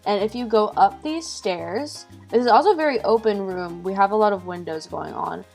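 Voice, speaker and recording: A woman's voice: mid-range voice, moderate pace, vocal fry, darkish tone, soft background music. A woman casually touring a game build, bubbly and gushing, often giggling. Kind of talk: casual game tour chat.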